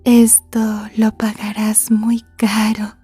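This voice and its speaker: An obsessive yandere schoolgirl for an ASMR drama. Her high voice is very slow and breathy, sweetly polite on the surface and full of gloating menace underneath. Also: completely flat delivery, warm tone, faint music behind. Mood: cold menace.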